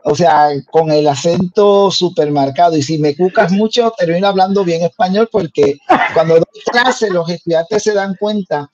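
Mellow older man on headset video call. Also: sits high, normal speed, hardly any pauses. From Puerto Rico. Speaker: guest singer. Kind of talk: interview storytelling. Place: home room. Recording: dull lo-fi video call audio.

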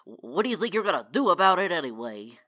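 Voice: mid-range voice, big pitch swings, fast talker, bright, forceful tone. An actor playing a folksy, naive, blustering small-town religious zealot in a comic radio play. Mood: flustered. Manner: folksy exclamations, flustered stammering.

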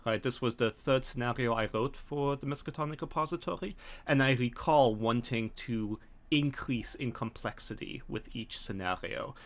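A man's voice: medium pitch, normal speed, few pauses. A middle-aged man hosting an unscripted discussion, explaining his ideas and often checking in with a tag question. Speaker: host and scenario author. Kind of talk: unscripted discussion of a tabletop game scenario. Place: home room on a video call.

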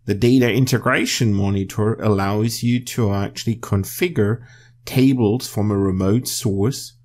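Guy with a lowish voice doing a screencast walkthrough, measured and explaining stuff step by step. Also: darkish tone. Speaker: software tutorial presenter. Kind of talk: instructional screencast narration.

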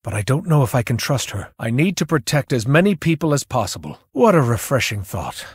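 A man's voice: medium pitch, very animated, fairly quick, slightly rough, no room sound, studio quality. A young man playing a thoughtful, cerebral young mage in a video game, earnest and self-doubting, with an introspective, wry delivery. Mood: curious, delighted. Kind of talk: video game character voice lines.

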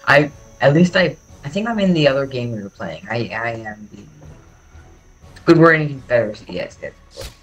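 A man's voice: medium pitch, animated, normal speed, fairly bright tone, slightly rough, faint music behind, rough recording. A man casually chatting with a friend, teasing him and laughing while he plays. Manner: laid-back, teasing, chuckles between remarks. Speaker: friend on voice chat. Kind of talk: casual chat.

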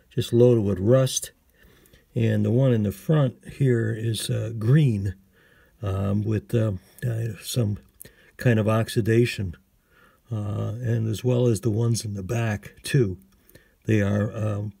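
A man casually narrating a hands-on repair as a hobbyist, hesitant and pausing often, recorded on a handheld phone. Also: on the low side, steady tone, gravelly, warm tone, slightly rough, no echo. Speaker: hobbyist radio restorer.

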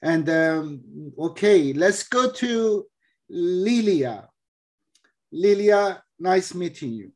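Man hosting a video call, slow, hesitant, somewhat high voice. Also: animated, a little mellow. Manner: slow, hesitant, many uh pauses. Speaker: host. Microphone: laptop webcam mic on video call.

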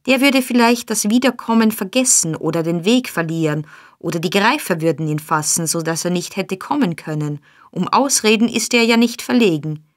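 Brutal male burglar, blustering and demanding, voiced by a woman narrator, raised and loud. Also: mid-range voice, normal speed, close to an everyday voice. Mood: angry. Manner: blustering, accusing. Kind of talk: audiobook character voice.

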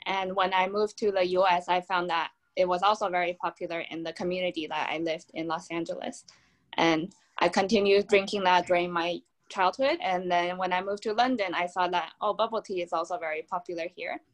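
Young woman brand founder on a video call, lowish voice, upbeat and explanatory. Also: steady tone, fairly quick, low-quality recording. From Taiwan. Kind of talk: video call panel answer. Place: home room.

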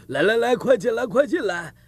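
An actor playing a genial, wealthy middle-aged villa owner in a folk-tale cartoon, with a husky voice and effusive, courteous delivery. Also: male voice, sits high, no room sound, exaggerated voice. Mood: jovial welcome.

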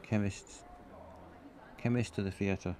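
Deep-voiced rambling male streamer. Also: steady tone, slow, darkish tone, slight background noise. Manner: rambling, dry and self-mocking, reading out chat. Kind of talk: casual live-stream commentary.

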